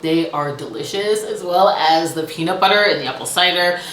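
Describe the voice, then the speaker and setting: A woman giving a casual book review to camera, expressive and emphatic in an articulate way. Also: on the low side, normal speed, echoey room, a little hiss or hum.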